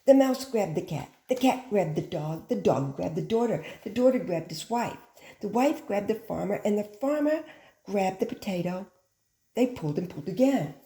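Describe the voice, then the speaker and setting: A woman reading a picture book aloud like a teacher, with simple, instructive phrasing and expressive stress on key words. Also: medium pitch, normal speed. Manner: simple, instructive phrasing aimed at young children. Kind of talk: animated children's picture book read-aloud. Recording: echoey room.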